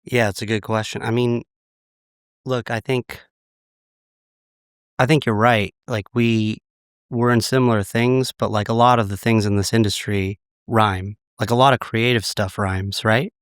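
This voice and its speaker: A man in his late thirties, a podcast guest, slightly raspy from a recent illness, talking in a relaxed, reflective way. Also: fairly low pitch, unhurried, no echo, clean studio sound. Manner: relaxed, reflective, many "like" fillers. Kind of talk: casual interview chat.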